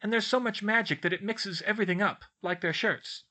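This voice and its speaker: A man voicing a clever, smug schoolgirl with a brisk, precise, explanatory way of talking in a storybook reading. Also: high-pitched, sharp tone, voice pushed up. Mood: smug, casual. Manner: brisk, explanatory, matter-of-fact. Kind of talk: audiobook character voice.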